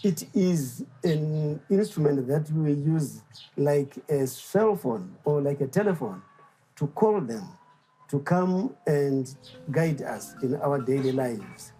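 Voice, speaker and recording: A middle-aged man speaks in an unhurried, reflective way, pausing often and filling gaps with soft hesitations. His voice is mellow and warm, and faint mbira music plays quietly behind him. He tells his story as a musician and instrument maker in a documentary interview. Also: a little high, lively intonation, poor audio. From Zimbabwe. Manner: slow and reflective, with pauses and fillers.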